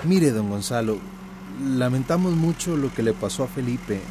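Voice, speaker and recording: Company spokesman type played by a man: medium-pitched, officious, polite, evasive radio-play delivery over clear traffic noise. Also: expressive, normal speed, lightly acted. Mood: formal, placating. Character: evasive, formal company manager's male assistant. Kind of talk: radio drama acting.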